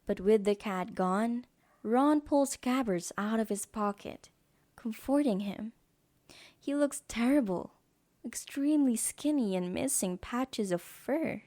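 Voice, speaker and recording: A woman's voice: a little high, expressive, takes it slowly, mellow. A woman reading scripted narration as the narrator of an audio drama.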